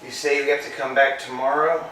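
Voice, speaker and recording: A man reading a glum worn-out tramp, flat low-key lines, bright edge, roomy room, mic far off. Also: brisk, a little hiss or hum, lightly acted. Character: weary middle-aged male tramp, glum. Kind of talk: staged play reading.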